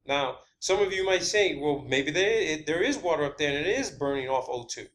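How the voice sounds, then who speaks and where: A man with a somewhat high voice talks through his ideas as a video host. His delivery is informal and halting, circling back to repeat words for emphasis, with a blunt edge. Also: lively intonation, a bit bright. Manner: blunt, swearing. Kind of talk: informal theorizing monologue.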